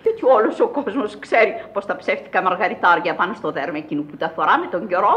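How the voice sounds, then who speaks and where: A woman's voice: mid-range voice, lively intonation, a bit bright, natural voice. An actress playing an imperious old Parisian madwoman in a radio play, declamatory, commanding and scolding. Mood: bossy, reproving. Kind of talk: theatrical radio drama acting. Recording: dull old radio recording.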